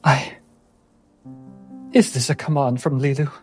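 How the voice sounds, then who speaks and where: Guy doing a frantic timid young priestess, high pitched, stammery n pleading, tabletop game. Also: flat delivery, unhurried, husky, faint music behind. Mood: anxious, pleading. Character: timid, frantic young woman, devout dream speaker.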